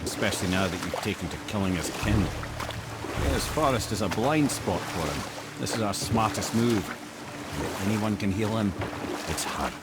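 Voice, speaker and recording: A man's voice: fairly low pitch, animated, moderate pace, heavy background noise, natural voice. An actor playing a shrewd, worldly male advisor in a video game, earnest and explanatory in his talk. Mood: grave, thoughtful. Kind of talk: dramatic video game acting.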